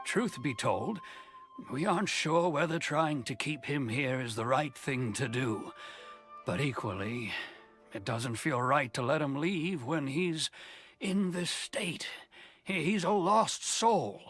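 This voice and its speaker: A man's voice: on the high side, animated, slow, a bit bright, faint music behind. A man playing a concerned, conflicted villager in a video game, earnest and hesitant in his delivery. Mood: troubled. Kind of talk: English video game voice acting.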